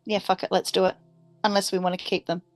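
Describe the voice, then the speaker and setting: A woman's voice: mid-range voice, level tone, quick pace, faint music behind. A playful, irreverent woman, chatting casually as a player in a tabletop game.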